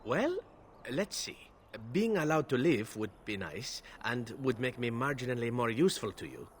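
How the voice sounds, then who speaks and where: An actor playing a roguish captured assassin in a video game, wry, flippant and courteous. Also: male voice, medium pitch, lively intonation, moderate pace, a little hiss or hum, lightly acted. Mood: persuasive, wry. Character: roguish, glib captured male elf assassin. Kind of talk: video game character dialogue.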